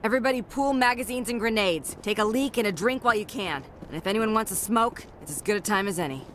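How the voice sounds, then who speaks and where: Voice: mid-range voice, fast, fairly bright tone, a little hiss or hum, lightly acted. An actor voicing a battle-weary, gritty soldier lieutenant in a video game, with blunt, clipped military talk. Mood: urgent, resolute.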